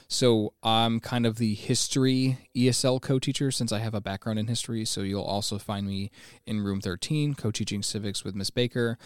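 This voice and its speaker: Clear, low-voiced young American man. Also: no echo. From United States. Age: 20s. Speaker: ESL teacher, interview guest. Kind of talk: conversational interview answers.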